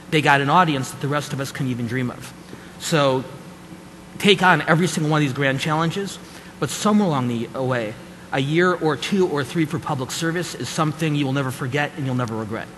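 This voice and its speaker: A man's voice: a little high, fairly bright tone. A man on a policy panel telling a story and urging students toward public service. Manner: storytelling, earnest advice to students. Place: large conference hall. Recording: echoey hall with faint noise.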